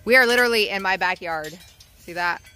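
A woman's voice: mid-range voice, brisk, sharp tone. A woman vlogging on her homestead, chatting casually and laughing as she talks to her animals. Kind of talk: casual vlog chat. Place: outdoors in a farmyard.

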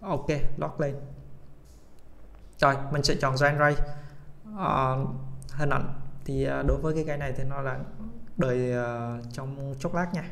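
A man hosting a casual software tutorial, speaking haltingly with many hesitation sounds and English tech terms mixed in. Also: medium pitch, steady tone, vocal fry. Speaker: tutorial host.

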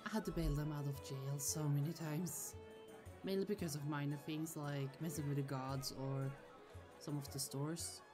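A woman's voice: sits low, fairly even intonation, normal speed, natural voice. A woman playing a protective, easily annoyed sorceress sister in a fantasy audio roleplay, chatty, affectionate and firm. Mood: cheerful, affectionate. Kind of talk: ASMR roleplay acting. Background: music.

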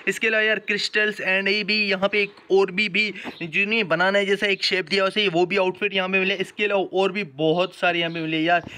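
A man's voice: sits high, flat delivery, fairly quick, few pauses, bright, forceful tone, clean tone. A man giving a chatty, casual gaming walkthrough, addressing viewers affectionately and repeating verbal tags. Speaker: gaming channel host. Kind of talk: casual game event walkthrough commentary.

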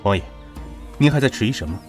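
An actor playing a stern, loyal retainer of a prince in an audio drama, with a husky voice. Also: male voice, medium pitch, expressive, fast, close to an everyday voice. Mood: urgent. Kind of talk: Chinese historical audio drama acting. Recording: background music under the voice.

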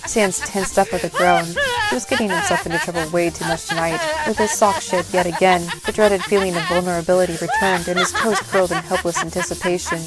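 A woman narrates an audio drama from a script. Her voice is somewhat low for a woman, and music plays clearly behind her as she reads the story. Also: sing-song, brisk, few pauses, poor audio.

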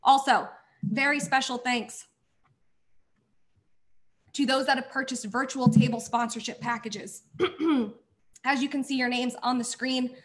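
A woman's voice: high-pitched, steady tone, measured pace, pauses often, rough recording. A woman hosting a virtual charity gala, thanking sponsors effusively while losing her voice. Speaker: gala host. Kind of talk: scripted event hosting.

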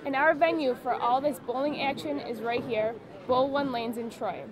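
A woman's voice: high-pitched, moderate pace, a crowd in the background, low-quality recording. A young woman co-hosting a bowling show in a bowling alley, speaking eagerly on a lapel mic. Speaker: co-host. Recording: loud music underneath.

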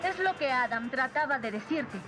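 High-voiced Latin American heroine. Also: female voice, expressive, moderate pace. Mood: pleading. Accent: Latin American Spanish. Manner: earnest, persuasive. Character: brave, earnest young warrior woman. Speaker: voice actor in a dubbed cartoon. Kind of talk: dramatic cartoon dub acting. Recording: dubbed cartoon with music underneath.

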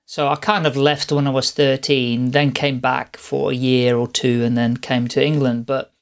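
A man's voice: mid-range voice, level tone, normal speed. A man hosting an interview, attentive and friendly in conversation. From Netherlands. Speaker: podcast host.

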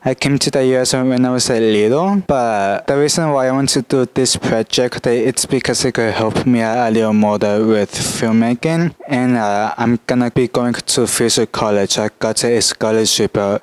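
A young man from El Salvador, a student, introducing himself with many pauses and fillers into a handheld mic. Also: medium pitch, smooth, no echo. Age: young adult. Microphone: handheld microphone.

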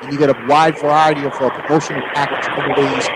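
A man's voice: fairly high pitch, flat delivery, fast talker, heavy background noise. A man hosting a radio show in a casual style, chatting with his guest and giving plugs.

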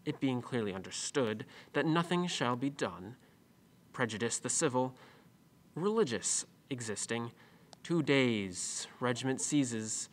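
A man playing a history lecturer in a radio play, delivering a formal, halting, fragmented lecture. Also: mid-range voice, expressive.

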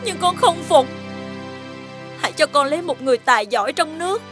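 An actress playing an earnest, defiant young princess in an animated fairy tale. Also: female voice, sits high, brisk. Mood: defiant, pleading. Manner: earnest, protesting. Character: headstrong young princess, dutiful yet defiant daughter. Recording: clear music behind.

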